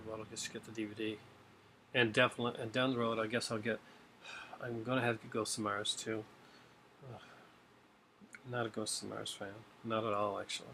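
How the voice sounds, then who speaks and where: A middle-aged man with a light Newfoundland accent talks in a fairly low voice, rambling and chatty with plenty of fillers. He is recorded on a webcam in a room at home, with a faint background hum. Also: pushed and bright, poor audio. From Newfoundland, Canada. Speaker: video host. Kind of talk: casual chat showing purchases.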